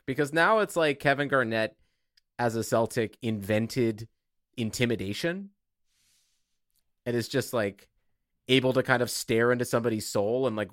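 A man's voice: on the low side, no room sound, studio quality. A man co-hosting a podcast in casual sports banter, sarcastic and given to swearing. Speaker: podcast co-host.

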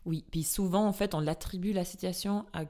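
Woman, low-pitched, non-native French speaker, casual podcast talk, rephrases often. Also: steady tone, a little fast, mellow. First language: not French. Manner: earnest, laughs easily, rephrases as she talks. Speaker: podcast co-host. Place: studio in a Swiss city.

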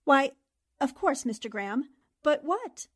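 An actress playing a spirited, indignant newspaper columnist in a theatrical 1940s radio-drama style. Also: female voice, sits high, measured pace, full character voice. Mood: bright, wary. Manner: expressive, theatrical. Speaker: actor in an audio drama.

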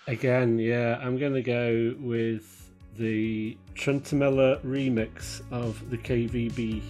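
A laid-back man co-hosting a casual podcast, talking through a track pick. His voice is mid-pitched, with faint music playing underneath. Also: level tone, slow pace, darkish tone. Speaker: podcast co-host. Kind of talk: casual chat.

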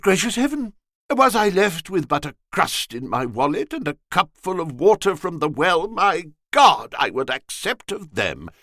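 Man acting a florid young gallant, sentimental, gushing, extremely swooping pitch, courtly French flourishes, storybook narration, dry sound. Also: a bit bright, no echo. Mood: effusive gratitude. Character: effusive, sentimental young gentleman, florid and lovestruck.

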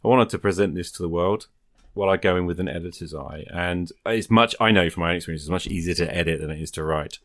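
A man's voice: sits low, fast talker, fairly bright tone, no echo, studio quality. A man hosting a podcast in casual chat, rambling encouragingly as he thinks aloud. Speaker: podcast host.

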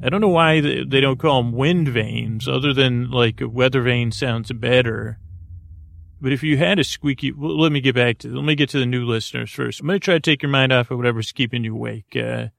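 A man with a creaky voice hosting a sleep podcast, delivering a meandering, hesitant bedtime monologue that drifts into tangents. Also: mid-range voice, a little fast, a bit bright, no echo. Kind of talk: rambling bedtime monologue meant to lull listeners to sleep.

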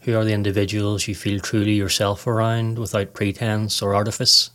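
A man narrating a scripted guided meditation in a calm, measured, instructional way. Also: on the low side. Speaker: podcast host, meditation guide.

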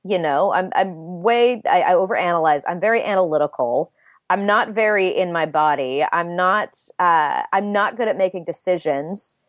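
A young woman speaks with a soft, soothing voice that sits a little low for a woman. She talks in a thoughtful, self-searching way, circling back and restarting her phrases. The sound is dry and close to the mic. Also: normal speed, dry recording. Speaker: interview guest, writer and voice actor. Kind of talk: casual interview chat.

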